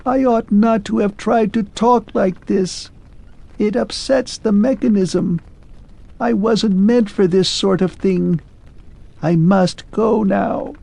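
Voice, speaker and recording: A man voicing a melancholy clockwork man who speaks in a shrill, faint, pleading way. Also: slow pace, creaky, dark tone, exaggerated voice. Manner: pleading, wistful, grows faint and distant.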